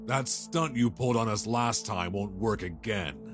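A man's voice: fairly low pitch, lively intonation, measured pace, over music. A man playing a stern, wary henchman in a sci-fi audio drama. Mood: threatening.

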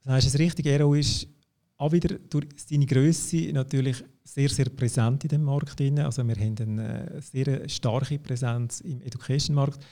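A man's voice: medium pitch, steady tone, normal speed, dark tone. A man with a Swiss German accent explaining company services in a business conversation, often hesitating and restarting sentences. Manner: hesitant, many fillers and restarts, "ich sage jetzt mal". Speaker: company representative for education and partners.

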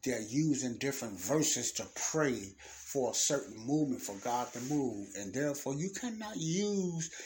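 A man's voice: mid-range voice, lively intonation, unhurried, hardly any pauses, darkish tone, slight background noise. A man hosting his own audio podcast, rambling and preachy with many restarts, his nose congested from sinus trouble. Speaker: podcast host. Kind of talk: freestyle talk on faith and life.

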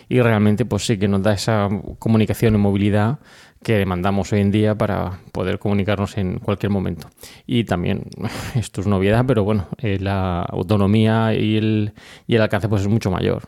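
Mellow low-voiced man from Spain, podcasting. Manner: conversational, hesitant with frequent filler words. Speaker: podcast host. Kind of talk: casual explanatory podcast monologue.

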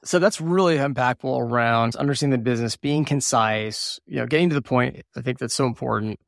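A male podcast host who talks quickly, with a gravelly edge to his voice. He encourages his guest and moves on with quick follow-up questions. The sound is dry and close. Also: medium pitch, no echo. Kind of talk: interview questions.

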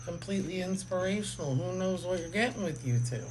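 A mid-pitched voice teases the hosts in a playful tone. Clear crowd noise runs underneath, with crickets chirping as well. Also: fairly quick, low-quality recording. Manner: teasing, laughing. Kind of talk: casual chat.

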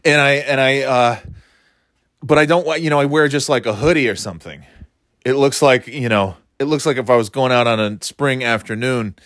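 A middle-aged man with a slightly stuffy nose, hosting a rambling solo chat, meandering and breaking off mid-thought, then getting playfully excited. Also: medium pitch, dry recording, clean studio sound. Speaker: podcast host.

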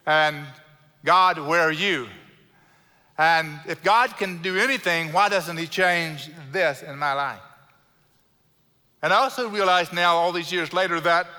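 A middle-aged pastor with a South Houston Texan twang delivers his sermon in a crisp, bright, slightly high voice. He is earnest and unhurried, leaning on key words, with a dry joke now and then. His words ring out with a long trailing reverb from a big church sanctuary. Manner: earnest, slows and stresses key words, light self-deprecating humor.

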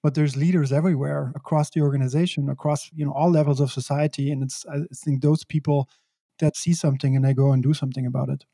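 A middle-aged man from Belgium, speaking as an interview guest in a reflective, measured way with occasional laughs. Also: on the high side, steady tone, gravelly, mellow, dry recording, studio quality. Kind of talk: conversational interview.

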